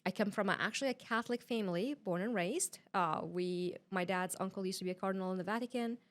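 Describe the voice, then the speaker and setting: A woman's voice: mid-range voice, fast. Casting: female interview guest from Iraq, middle-register voice, casual and explanatory with loose phrasing. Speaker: podcast guest.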